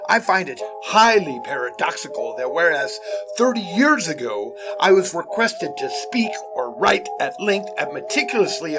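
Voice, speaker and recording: A man's voice: a little high, rough recording. Brief: a man as a stern formal elder, pointed and lofty, music bed. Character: stern pompous older male football founder.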